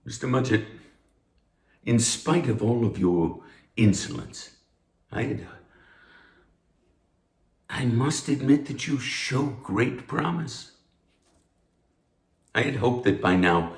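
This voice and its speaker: An actor playing a stern, pompous anatomy professor, speaking formally and like a lecturer in a theatrical read. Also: male voice, on the low side, darkish tone, reverberant. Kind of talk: theatrical table read of a stage musical.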